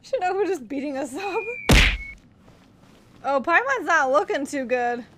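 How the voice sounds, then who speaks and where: A woman's voice: high-pitched, animated, unhurried, a bit bright. A woman giving casual, informal, reactive commentary while playing a video game. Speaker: gaming video creator. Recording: clear background noise and clicks.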